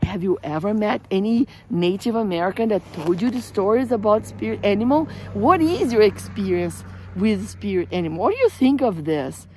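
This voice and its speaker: Animated Brazilian-accented woman telling a story outdoors. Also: medium pitch, moderate pace, slight background noise. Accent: Brazilian Portuguese. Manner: animated, expressive, emphatic storytelling. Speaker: hiking guide and empowerment coach. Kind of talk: personal storytelling vlog. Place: outdoors in desert scrubland at dusk. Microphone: camera or phone at close range.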